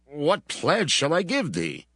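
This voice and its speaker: A man's voice: a little high, huge pitch swings, slow pace, bright, forceful tone, no room sound, clean studio sound, voice pushed up, exaggerated voice. A man voicing a stern, proud middle-aged patriarch in a dramatized scripture reading.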